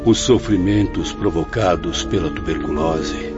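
A man's voice: low voice, a little slow. A Brazilian man acting an anguished spirit in a radio drama, with dramatic, emotional delivery. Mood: tormented. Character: anguished man reliving his own suicide, spirit storyteller. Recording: dull lo-fi audio under loud music.

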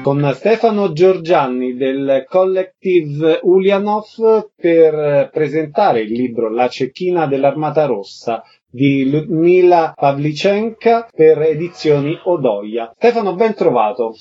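A man's voice: fairly high pitch, expressive, slow, few pauses, mellow, dull audio, soft background music. A man hosting a live radio programme and interviewing guests.

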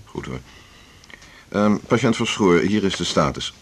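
An actor playing a middle-aged senior doctor in a radio drama, a husky-voiced boss who talks in a brisk, familiar, patronising way. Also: male voice, low voice, lively intonation. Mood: businesslike. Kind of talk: naturalistic Dutch radio drama dialogue.

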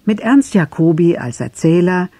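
A woman announcer reading radio drama credits from a script in a soft, breathy voice. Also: on the low side, lively intonation, normal speed, no echo.

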